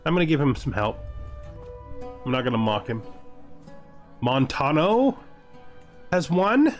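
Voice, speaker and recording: A man giving casual gameplay commentary, reading game text aloud and reacting with dry, offhand humour. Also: mid-range voice. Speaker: gaming video host.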